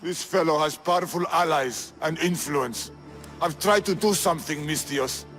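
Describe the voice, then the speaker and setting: A man acts out a proud, troubled master of an athletic school in a video game. The voice is pitched a bit high. Faint music sits underneath. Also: moderate pace, fairly bright tone, natural voice. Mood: worried. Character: proud, beaten, worried male gymnasium master. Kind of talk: video game cutscene acting.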